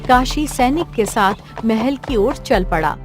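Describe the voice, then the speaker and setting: A woman's voice: a little high, expressive, unhurried. A woman reading scripted narration for a cartoon fairy tale as the storyteller. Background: music.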